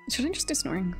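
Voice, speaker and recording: A woman chats casually as she plays a game on stream. Her voice sits a little high with a noticeable creak, and she takes her time. She is playful and giggly, with faint game music underneath. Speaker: streamer playing a video game. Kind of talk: casual livestream chat and game commentary.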